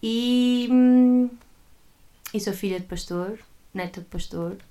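A woman's voice: a little high, slow pace, dark tone. A woman in her late twenties with a Porto accent, a guest chatting and joking casually in a podcast interview, sounding a bit tired. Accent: Porto Portuguese. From northern Portugal. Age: 20s. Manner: chatty and joking, laughs easily. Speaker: guest, history teacher.

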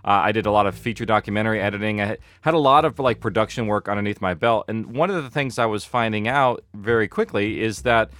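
A man's voice: on the low side, quick pace, hardly any pauses, a bit bright, no echo. A man speaking as an interview guest, chatting casually and explaining things through anecdotes. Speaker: interview guest, audio fiction producer.

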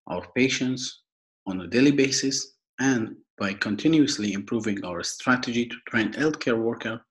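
A young man from Afghanistan with an Afghan accent, giving a prepared podcast introduction in a formal, halting way, often repeating and rephrasing. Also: medium pitch, rough recording. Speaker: podcast host and foundation worker.

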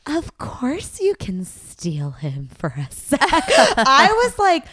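A woman co-hosting a casual chat, animated and quick to laugh. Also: high voice, no echo. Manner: giggly, animated, frequent laughter. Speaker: podcast co-host.

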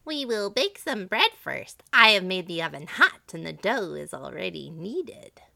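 A woman voicing a wicked old witch from a storybook, malicious and bullying, with drawn-out, scolding commands. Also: fairly low pitch, very animated, creaky, pushed and bright, no echo, clean studio sound, exaggerated voice. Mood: crafty.

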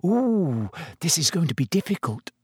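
A man's voice: on the high side, big pitch swings, normal speed, slightly rough, dry recording, clean studio sound, voice pushed up, exaggerated voice. A man voicing an anxious teenage girl in an audiobook, urgent and worried. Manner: hushed, worried.

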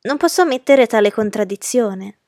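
A woman's voice: on the high side, expressive, normal speed, darkish tone, dry recording. Older society widow, played by a female reader: haughty, emphatic, fussing, storybook grande dame, dry recording. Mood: indignant. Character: pompous aristocratic older widowed mother, indignant, fussing.

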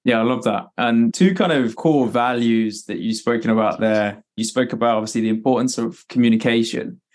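Man, podcast host, interviewer, enthusiastic, affirming, mid-pitched voice. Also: fairly quick, darkish tone.

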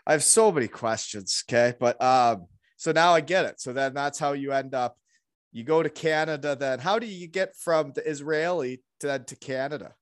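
A man's voice: medium pitch, normal speed, no echo. A man hosting a casual, conversational interview, informal and given to jokey interjections. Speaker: interviewer, host.

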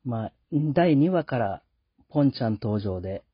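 A man hosting a show solo, speaking politely and reflectively in a casual, halting monologue. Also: medium pitch, lively intonation, husky, no echo. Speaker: host. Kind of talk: casual podcast sign-off monologue. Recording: dull lo-fi sound.

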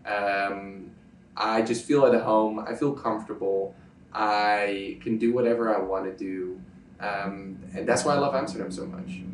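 A man's voice: fairly low pitch, steady tone, measured pace, far from the mic, slight background noise. A Dutch man whose first language is Dutch, a YouTuber answering interview questions, emphatic and repeating his points. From Netherlands. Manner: emphatic, repeats points, checks agreement with "right?". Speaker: YouTuber guest. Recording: roomy sound from off-mic.

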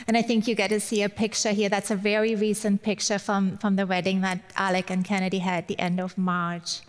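A woman's voice: mid-range voice, level tone, a little fast. A middle-aged woman from Germany with a German accent, giving a personal, lightly humorous church sermon as a guest speaker. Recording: long hall-like reverb.

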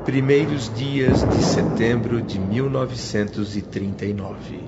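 A man narrating a radio drama in a solemn, measured way. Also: medium pitch, heavy background noise. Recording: dull lo-fi radio recording with music.

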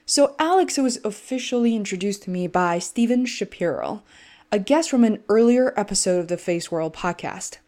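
A woman's voice: medium pitch, expressive, normal speed, darkish tone. A woman from China, English her second language, hosting a podcast with an enthusiastic, earnest manner. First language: Chinese. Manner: enthusiastic, earnest, with restarts in chat. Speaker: podcast host. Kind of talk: scripted intro then interview chat.

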